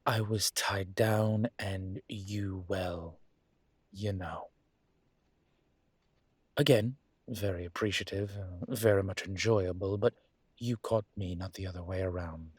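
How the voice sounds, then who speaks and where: A man playing a flirtatious, wry immortal demon professor in an intimate, conversational audio roleplay, with a low husky voice and a teasing, playful manner. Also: steady tone, takes it slowly, long pauses, no room sound, full character voice. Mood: warm, admiring. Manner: teasing, playful, breathy asides.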